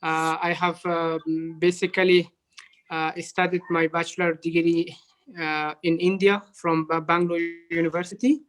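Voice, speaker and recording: A man with an Afghan accent giving unscripted interview answers as a guest, courteous, halting and hesitant. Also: on the high side, level tone, fairly bright tone. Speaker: interview guest, development professional.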